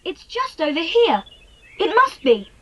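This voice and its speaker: A woman voices a young British schoolboy in a storybook dramatisation, high in pitch and lively in delivery. He comes across as adventurous, frank and politely matter-of-fact. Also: measured pace, heavily acted.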